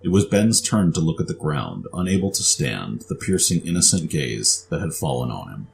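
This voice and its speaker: A man's voice: very deep voice, very animated, moderate pace, vocal fry, slight background noise. A man reading scripted story narration as the narrator.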